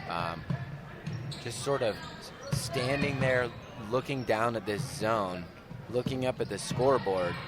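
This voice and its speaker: A man's voice: medium pitch, unhurried, over loud music. A man giving conversational live analysis as the color commentator at a basketball game. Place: indoor school gymnasium during a game. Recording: noisy gym ambience, bouncing basketballs. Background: game noise, basketball bounces.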